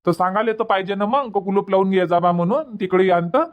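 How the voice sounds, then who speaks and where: Cartoon village husband, male performer, exasperated and insistent, pitched well up, Varhadi Marathi accent. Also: a little fast, lo-fi sound, natural voice. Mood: reproachful. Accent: Varhadi Marathi from Vidarbha. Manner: insistent, repetitive, reproachful. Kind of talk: cartoon comedy dubbing.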